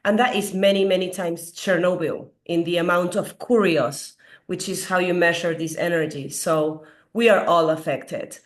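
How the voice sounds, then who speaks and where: A middle-aged woman from Peru gives an earnest health lecture as a doctor. Her voice is slightly hoarse from a recent cold, and she asks her audience questions with frequent fillers. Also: on the low side, steady tone, moderate pace. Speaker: doctor giving a health talk. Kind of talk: explanatory lecture.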